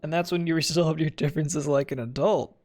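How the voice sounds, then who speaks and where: A man's voice: fairly high pitch, steady tone, fairly quick, darkish tone, no room sound, soft background music. A man giving casual, sarcastic, wisecracking commentary as he streams a game.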